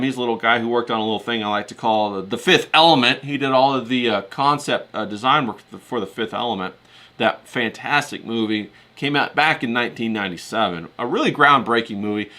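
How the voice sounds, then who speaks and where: A man's voice: medium pitch, bright, forceful tone. A man hosting a livestream, chatting casually in a folksy, colloquial, rambling way and often repeating himself.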